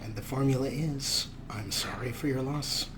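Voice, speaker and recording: A man's voice: mid-range voice, normal speed, fairly bright tone, a little hiss or hum. A man hosting a game playthrough, reading dialogue choices aloud and adding reflective, explanatory commentary. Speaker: let's play host. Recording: game music behind the voice.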